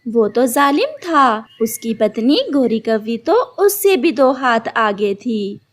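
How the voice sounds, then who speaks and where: High-pitched, slow-paced woman narrating stories. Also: sing-song. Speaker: narrator of children's animal stories. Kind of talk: scripted storybook narration.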